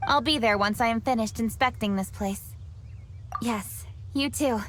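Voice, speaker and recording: A woman voicing a brisk, earnest young noble commander in a game cutscene. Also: a little high, slight background noise, lightly acted.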